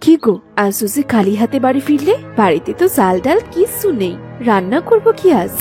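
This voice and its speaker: Sharp-tongued poor wife, high pitch, animated, scolding, cartoon dubbing, music behind. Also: female voice, brisk. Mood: exasperated, scolding. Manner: scolding, complaining. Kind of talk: Bengali fairy-tale cartoon dubbing.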